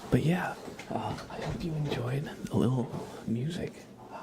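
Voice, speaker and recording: A soft-spoken man chatting casually on an ASMR livestream, drifting in and out of a whisper. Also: medium pitch, measured pace, very noisy.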